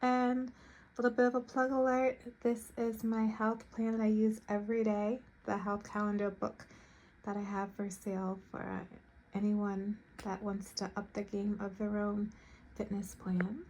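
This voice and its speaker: A woman's voice: mid-range voice, flat delivery, moderate pace, darkish tone, a little hiss or hum. An American woman chatting casually to camera as a craft vlogger, informal and thinking aloud as she goes.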